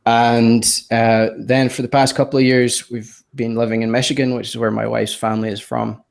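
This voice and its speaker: Gravelly Scotsman with a softened accent. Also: mid-range voice, flat delivery, normal speed. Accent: Scottish, softened by years in the US. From Scotland. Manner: self-deprecating, hesitant, many ums and restarts, laughs often. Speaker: podcast guest, designer. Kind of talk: casual interview chat.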